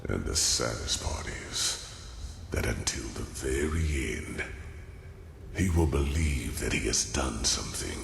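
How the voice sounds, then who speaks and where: A man playing an ancient, weary sage in a fantasy game, speaking gravely and deliberately in a gravelly voice. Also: very deep voice, a bit bright, a little hiss or hum, full character voice. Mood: grave, resigned. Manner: grave, slow, deliberate, brooding. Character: ancient weary male sage revealing bleak cosmic truths. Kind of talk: solemn dramatic game monologue. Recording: echoey voice over clear background music.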